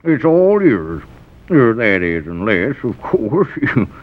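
An actor playing a scheming, smooth-talking rural squire in a 1940s radio comedy, falsely obliging and hemming as he wheedles. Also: lowish voice, sing-song, slow pace. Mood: sly, wheedling. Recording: dull vintage radio broadcast recording.

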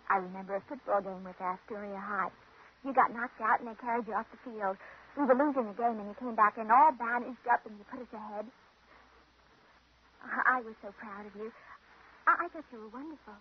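Actress doing a wistful young woman w a crush, soft n coy, highish voice, muffled noisy 50s radio. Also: fairly quick, natural voice. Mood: wistful, admiring. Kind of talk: 1950s radio drama acting.

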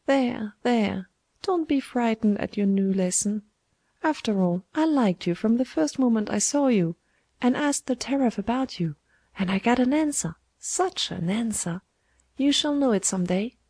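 A woman's voice: fairly high pitch, animated, measured pace, warm tone, slightly rough, no echo, voice pushed up, heavily acted. A woman voicing a sly, domineering old woman schemer in a storybook, with a taunting, wheedling manner. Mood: wheedling, gloating. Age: older.

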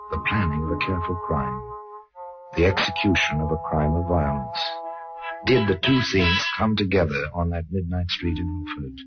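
Man as sardonic radio crime storyteller, very deep voice, deliberate, rhetorical, brooding, muffled vintage sound. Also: lightly acted. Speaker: narrator. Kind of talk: dramatic old radio crime narration. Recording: dull old radio recording.

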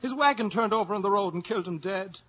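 A sly Irish villager in an old radio comedy, piercing yet raspy. He puts on mournful airs with hammy hesitation, heard through a dull 1950s recording. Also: high voice, fast, sharp tone, exaggerated voice. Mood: mock grief. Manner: hammy, hesitant mock-sorrowful talk. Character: sly Irish villager feigning grief over sudden inheritance.

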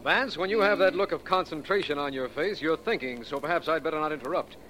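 An actor playing a brisk, earnest district attorney in 1940s radio drama, with a businesslike, courteous, conversational manner. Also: male voice, on the high side, pushed and bright. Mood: puzzled, urging. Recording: noisy vintage 1940s radio recording.

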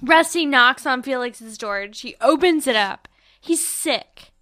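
A woman's voice: high-pitched, animated, measured pace, bright, forceful tone, no echo, clean studio sound. A woman co-hosting a podcast, casually and playfully retelling a book and dramatizing as she goes. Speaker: podcast co-host recapping a novel. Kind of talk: casual book-recap chat with read-aloud dialogue.

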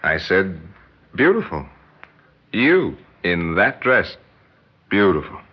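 Romantic young male lead, American, tender and coaxing, very animated delivery, muffled sixties radio drama. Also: low voice, takes it slowly, husky, exaggerated voice. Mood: admiring. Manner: earnest, persuasive, tender. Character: ardent, gentle young suitor coaxing his sweetheart. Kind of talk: 1960s radio drama acting. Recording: muffled 1960s radio recording.

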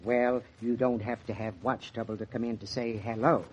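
An actor playing a self-assured small-town American watchmaker, speaking with dry, precise confidence in a 1940s radio drama. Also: male voice, mid-range voice, level tone, moderate pace, darkish tone, a little hiss or hum, close to an everyday voice. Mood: cordial. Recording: dull, muffled 1940s radio recording.

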